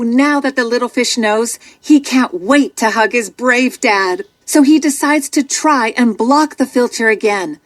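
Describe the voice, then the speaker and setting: A woman narrating a scripted children's story in an expressive, dramatic way. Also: high-pitched, no echo.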